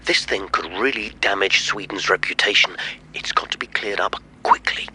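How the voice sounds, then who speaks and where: An actor playing a stern police chief under pressure, speaking urgently and insistently in a radio drama. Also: male voice, low voice, normal speed, pushed and bright, a little hiss or hum, rough recording. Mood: urgent, impatient.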